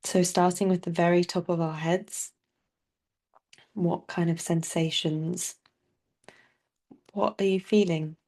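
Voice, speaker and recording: Slow, mellow woman guiding meditation. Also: fairly low pitch, steady tone. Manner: soft, unhurried, soothing delivery with long pauses. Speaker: meditation teacher. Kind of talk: guided body scan meditation.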